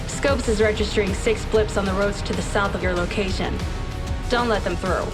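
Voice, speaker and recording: An actress playing a confident military scientist who briefs a commander in a brisk, businesslike, wryly conversational way. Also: female voice, medium pitch, lightly acted. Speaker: research chief and mission briefer. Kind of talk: scripted game mission briefing.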